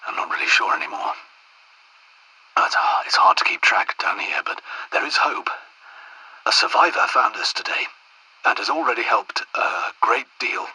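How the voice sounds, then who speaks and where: An actor playing an anxious, earnest English royal doctor in a video game outbreak, well-spoken, polite and hesitant, with a breathy, husky voice. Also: male voice, fairly low pitch, animated, moderate pace, pauses often, bright, forceful tone, full character voice, poor audio. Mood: weary, grave. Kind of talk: dramatic game dialogue and audio diary entries.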